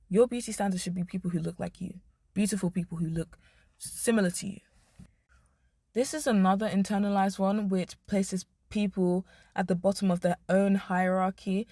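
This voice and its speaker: A young woman vlogger, hoarse, giving blunt, emphatic commentary to the camera. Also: medium pitch, warm tone, no echo, clean studio sound. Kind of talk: opinionated talking-head commentary.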